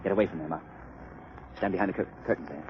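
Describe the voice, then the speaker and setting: Guy playing astute gentleman sleuth in old radio crime show, formal and crisp, muffled with some hiss. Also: low-pitched, fast talker, natural voice. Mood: urgent, commanding. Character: composed, astute gentleman amateur sleuth, commanding and suspicious. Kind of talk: 1940s radio crime drama acting.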